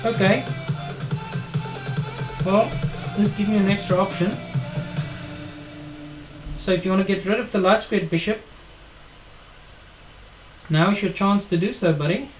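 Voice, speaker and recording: A man's voice: high voice, unhurried, long pauses, over music, poor audio. A middle-aged man with a South African accent, casually commentating his own game on a live stream, thinking aloud in an informal, matey way. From South Africa and Australia. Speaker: chess streamer and player.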